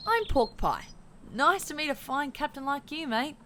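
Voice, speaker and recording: Confident animal adventuress female actor: friendly, fairly high, animated, audio drama. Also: moderate pace, slight background noise.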